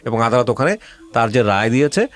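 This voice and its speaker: A man's voice: mid-range voice, sing-song, a little fast. A male radio host with a Bangladeshi accent, advising a guest in an insistent, questioning way and dropping in English phrases. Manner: mixes English phrases into speech. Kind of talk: advising a guest on a life-story show.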